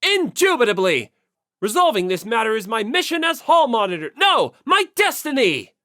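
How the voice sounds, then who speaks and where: An audiobook reader voicing a stern, zealous high school hall monitor boy with pompous, formal, emphatic speech. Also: very animated, normal speed, pushed and bright, clean studio sound. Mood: eager, emphatic. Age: teenager. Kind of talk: audiobook character voice.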